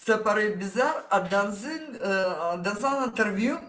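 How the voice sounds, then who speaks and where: A woman from Ukraine with a Ukrainian accent, a guest in an interview, talking slowly and searching for her words, often with a small laugh. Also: medium pitch, expressive, roomy sound, background noise. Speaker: interview guest, coaching client. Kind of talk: testimonial interview.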